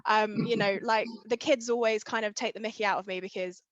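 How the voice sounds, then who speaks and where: An eager young woman with a loud voice chats casually about her coaching, filling her sentences with ums and kind-ofs. Her voice sits at a middling pitch. The sound is dry and a little dull, with no room echo. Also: fairly even intonation, fairly quick. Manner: chatty, many fillers like um and kind of; enthusiastic. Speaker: riding coach and blogger, interview guest. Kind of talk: casual interview chat.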